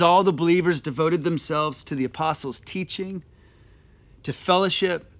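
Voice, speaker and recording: A man in his thirties preaching a sermon on a dark stage, earnest, often repeating phrases as he builds his point. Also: fairly high pitch. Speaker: pastor.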